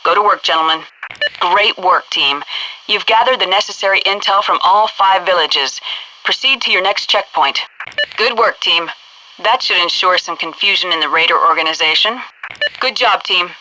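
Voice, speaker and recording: A woman's voice: lowish voice, normal speed, noisy background. A woman playing a composed military headquarters officer with an American accent, giving clipped, formal, authoritative briefings through a radio filter in a video game. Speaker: mission control officer. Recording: distant-sounding military radio transmission.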